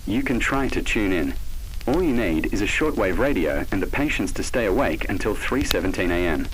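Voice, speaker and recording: Male narrator, low register, very varied intonation, dramatic and foreboding scripted horror storytelling. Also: slight background noise, poor audio. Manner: measured, ominous, dramatic delivery.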